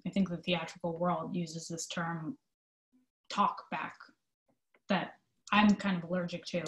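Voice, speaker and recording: Lowish woman's voice, echoey room, earnest and thoughtful, chatting thru an interview casually. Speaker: theater company manager being interviewed. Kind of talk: conversational interview answers.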